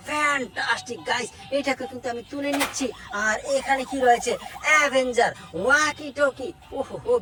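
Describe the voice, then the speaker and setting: A woman presenting toys to viewers in an exclamatory way, with short phrases and frequent wows. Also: on the high side. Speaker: presenter of a toy video. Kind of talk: toy showcase narration for viewers.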